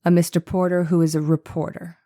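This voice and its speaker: A woman playing a male defense attorney in a courtroom drama reading, questioning in a formal, deliberate, insistent and precise way. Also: on the low side, little pitch movement, dark tone, close to an everyday voice. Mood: methodical, probing. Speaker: voice actor reading a trial transcript.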